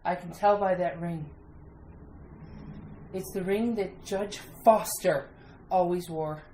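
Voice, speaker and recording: Woman as a steady sheriff, echoey room. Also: fairly low pitch. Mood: grave, steady. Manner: folksy, measured, matter-of-fact. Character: steady, skeptical small-town sheriff. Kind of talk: retro radio mystery acting. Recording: roomy sound with faint noise.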